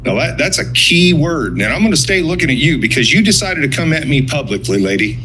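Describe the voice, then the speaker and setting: A man's voice: fairly high pitch, sing-song, brisk, crowd noise, rough recording. A blunt, sarcastic man giving confrontational public comment at a meeting, swearing and accusing.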